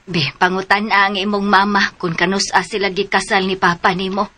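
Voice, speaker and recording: An actress playing a practical, helpful aunt with a questioning, matter-of-fact manner in a radio drama. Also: female voice, mid-range voice, level tone, normal speed, bright, forceful tone. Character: practical, helpful older female relative of a schoolgirl.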